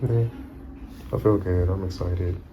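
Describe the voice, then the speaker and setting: A man chatting casually in a family vlog, speaking in a flat, monotone way. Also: deep voice, a little slow, lo-fi sound. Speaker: father-to-be, vlogger. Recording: echoey room with background noise.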